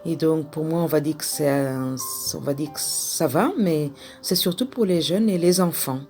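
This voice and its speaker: A woman from Burundi whose first language is Kirundi, speaking French in a reflective, hesitant way with frequent pauses and fillers. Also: deep voice, darkish tone, faint music behind. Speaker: interviewee.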